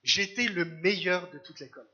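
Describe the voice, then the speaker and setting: A man from Algeria preaching a church sermon in short phrases, pausing for an interpreter. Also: high voice, pushed and bright, slightly rough, highs cut off. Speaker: guest preacher. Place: church service.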